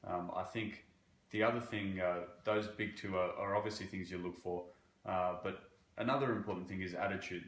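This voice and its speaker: A man from Croatia working as a banking relationship manager, answering interview questions in a halting way with many fillers. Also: low-pitched, fairly even intonation, reverberant. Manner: halting, with frequent fillers and restarts.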